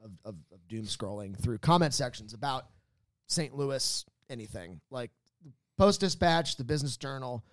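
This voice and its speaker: A man's voice: mid-range voice, expressive, moderate pace, dry recording, studio quality. A man hosting a podcast in casual banter, rambling, jokey and a bit profane. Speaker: podcast host. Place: recorded at a theater company's space.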